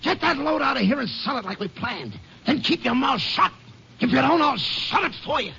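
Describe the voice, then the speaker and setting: An actor playing a menacing, cold-blooded American farmhand who feigns innocence, in an old-time radio crime drama. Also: male voice, very high-pitched, expressive, moderate pace, gravelly, exaggerated voice. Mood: threatening. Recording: dull, noisy vintage radio recording.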